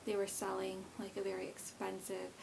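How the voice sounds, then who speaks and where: A woman in her thirties chats through a beauty vlog in a loose, rambling way, full of fillers and restarts. Her voice has a gravelly, creaky texture at a middle pitch. A faint hiss sits under the recording. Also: monotone, moderate pace. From South Korea. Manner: chatty and rambling, many fillers. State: pregnant. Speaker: beauty vlogger. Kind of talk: casual talk to camera.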